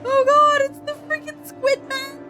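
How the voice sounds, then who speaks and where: A woman's voice: very high-pitched, level tone, unhurried. A woman hosting a let's play, casually commenting, reading aloud and reacting expressively to the game. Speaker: streamer, let's play host. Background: faint game music.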